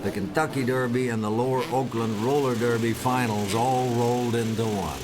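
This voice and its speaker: Actor as wry drugged-out journalist narrator, noisy backdrop. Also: male voice, mid-range voice, fairly even intonation, normal speed, natural voice. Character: male drug-addled journalist narrating his own misadventures, wry and florid. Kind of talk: scripted first-person narration.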